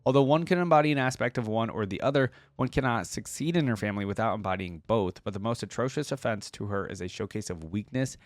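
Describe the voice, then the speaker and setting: A man's voice: on the low side, fast, few pauses, no echo. A man reading text aloud as a reaction video host, dropping in casual asides between passages.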